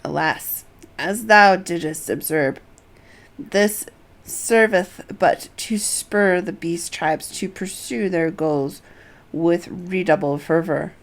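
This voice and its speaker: A woman's voice: fairly low pitch, takes it slowly. A woman voicing a solemn male scholar from a fantasy game, with grave, archaic phrasing.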